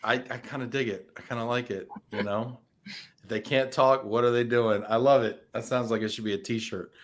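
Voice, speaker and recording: A man's voice: mid-range voice, steady tone, moderate pace. Guy hosting a live show, joking around, teasing the guest, lots of restarts. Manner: playful, teasing; frequent restarts and fillers. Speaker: show host. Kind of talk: live-streamed interview chat, reading viewer comments.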